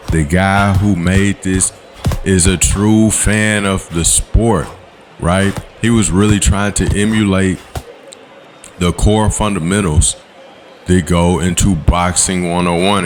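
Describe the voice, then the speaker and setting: A man, giving laid-back voice-over commentary on his gameplay in a slangy, coaching way. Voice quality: low voice. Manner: laid-back, coaching, slangy with quick "boom" call-outs. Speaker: gaming channel host. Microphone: voice-over recorded separately from the footage.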